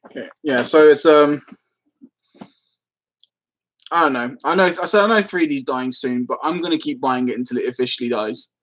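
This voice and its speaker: A man's voice: medium pitch, normal speed, long pauses, fairly bright tone. A man hosting a casual live stream, rambling as he replies to comments and easily amused. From London, England.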